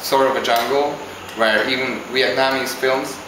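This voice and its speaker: Guy, halting interview answers, super echoey spot, steady background hum. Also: mid-range voice. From Hungary. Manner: halting, searches for words. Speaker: interviewee, visiting traveler. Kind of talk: casual spoken interview answers. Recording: very echoey with clear background ambience.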